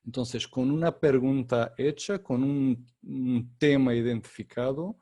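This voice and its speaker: A consultant giving a webinar masterclass, speaking slowly in a second language that is not his native Portuguese, with frequent fillers and repeated words. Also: medium pitch, fairly even intonation, warm tone, no echo, clean studio sound. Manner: slow and deliberate, many fillers and repeated words. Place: online webinar.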